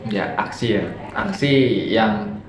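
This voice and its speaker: A man's voice: fairly low pitch, takes it slowly. A young man from Purwokerto with a Banyumasan ngapak Javanese accent, a guest on a casual podcast, explaining things in an easygoing way. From Purwokerto, Central Java. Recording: echoey room.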